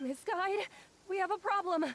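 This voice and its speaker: A woman playing a gentle, polite, anxious young traveler in an anime game, speaking earnestly. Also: very high-pitched, steady tone, normal speed, slight background noise. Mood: worried.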